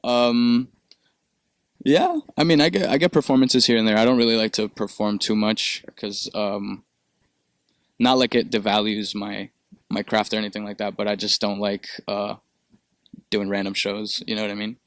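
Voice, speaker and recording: A young man with a low-pitched voice, recorded close and dry. He talks in a relaxed, rambling way, full of fillers and casual swearing. He grew up in Peru. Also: normal speed, no room sound. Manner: laid-back, rambling with many fillers and profanity. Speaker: musician guest. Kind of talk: casual interview.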